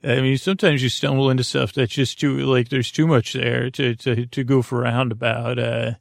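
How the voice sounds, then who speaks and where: A man hosting a sleep podcast, speaking in a halting, meandering, self-deprecating bedtime ramble with a gravelly, creaky voice. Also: medium pitch, level tone, no echo, clean studio sound. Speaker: podcast host. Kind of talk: rambling bedtime monologue to help listeners sleep.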